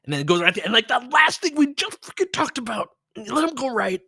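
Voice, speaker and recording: A man's voice: extremely high pitch, big pitch swings, fast talker, gravelly, pushed and bright, slightly rough, no room sound, studio quality. A man doing casual live basketball commentary as host, chatting with listeners in a conversational, mildly profane way with trailing asides. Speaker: basketball play-by-play host and coach.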